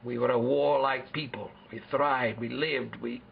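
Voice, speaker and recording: Man playing a proud, gravelly Spartan warrior king. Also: mid-range voice, steady tone, a little slow, narrow, tinny audio, slight background noise. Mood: proud, solemn. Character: proud, solemn ancient Spartan warrior king being interviewed. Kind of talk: amateur historical role-play interview.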